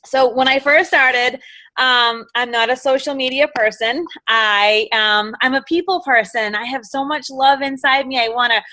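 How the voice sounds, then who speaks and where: A woman's voice: sits high, normal speed, no room sound. A middle-aged woman with a Boston accent gives an enthusiastic, rambling motivational talk as a guest speaker, often drifting off topic. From Massachusetts. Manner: enthusiastic, rambling, quotes others in lively voices.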